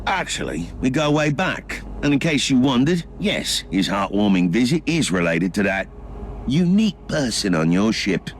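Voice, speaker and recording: A man's voice: mid-range voice, sing-song, moderate pace, a little hiss or hum, close to an everyday voice. An actor playing a worldly, flamboyant male secret agent with a wry, flippant, theatrical manner in a video game.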